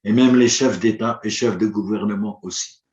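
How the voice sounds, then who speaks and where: A man whose first language is Arabic, giving a formal prepared conference address in a measured, rhetorical manner, with long listed points. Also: fairly low pitch, steady tone, darkish tone. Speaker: conference speaker. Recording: roomy, dull lo-fi audio.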